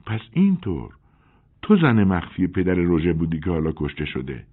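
Excitable young woman played by a man, high voice, husky, tinny audio. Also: heavily acted. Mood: chatty. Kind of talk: audiobook character voice.

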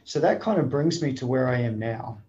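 A man's voice: medium pitch, animated, a little fast, a little mellow, dull audio. A middle-aged man with an Australian accent, telling his life story as a podcast guest in a thoughtful, searching way with many restarts. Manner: thoughtful, frequent restarts and 'you know'. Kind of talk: reflective storytelling in conversation.

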